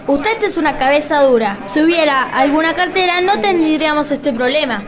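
A stern male supermarket supervisor acted by a woman with a high voice. He is officious and insists on the rules, as in an amateur radio drama. Chatter of people sits behind the voice. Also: animated, moderate pace. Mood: officious, insistent.

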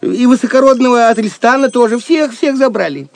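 High, creaky, wry jester. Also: male voice, a little fast, a little mellow, dry recording. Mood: mock lament. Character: Wamba, wry mocking jester, whiny and plucky. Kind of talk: audio drama acting.

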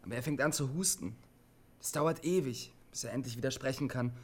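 An actor playing a lonely, troubled young radio operator in a German radio drama, terse and brooding. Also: male voice, medium pitch, brisk, breathy edge, lightly acted. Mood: subdued narrating. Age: young adult. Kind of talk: German radio drama acting, intimate narration and dialogue.

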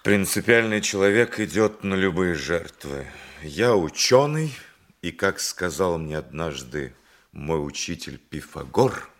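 A self-important learned dentist in a radio drama. The voice is very low, with pompous, deliberate phrasing that insists on principle. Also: male voice, lively intonation. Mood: dignified, pompous. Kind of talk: theatrical radio play acting.

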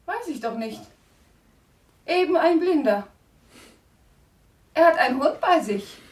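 An actress playing a considerate but bossy young wife in a radio play, breathy and polite yet insistent. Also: female voice, high voice, lively intonation, slow. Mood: hushed, insistent. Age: young adult. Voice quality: breathy, often hushed. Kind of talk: German radio play acting.

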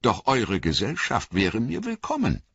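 Young hobbit, read by a man, polite and curious, lifted voice, lively. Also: mid-range voice, measured pace, vocal fry, breathy edge, dry recording, lo-fi sound, voice pushed up, full character voice. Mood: courteous.

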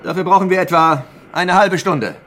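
An actor playing a calculating, authoritative island mayor in a German radio drama, speaking in a formal, measured, commanding way. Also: male voice, sits high, fairly bright tone, a little hiss or hum. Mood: calmly reassuring.

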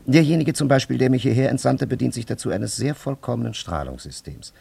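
An actor playing a solemn traveller from an ancient civilization in a German radio play, speaking in a stately, archaic, formal way with a gravelly, husky voice. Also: male voice, medium pitch, lively intonation, a little fast, warm tone, heavily acted. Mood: superior, explaining.

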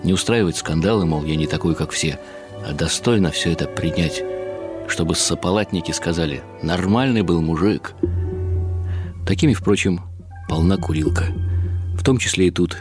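An actor playing a wry, reflective elderly husband who narrates in the first person in short clipped phrases for a radio drama. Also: male voice, sits low, moderate pace, pauses often, over music, lightly acted.

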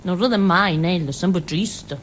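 An actress playing a naive, childlike village simpleton girl with a Calabrian accent, in a halting, repetitive theatre monologue. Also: lowish voice, a little hiss or hum, lightly acted. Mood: naive, plaintive. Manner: simple, repetitive, halting, with questioning tags and giggles. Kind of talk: theatrical monologue in colloquial dialect-tinged Italian.

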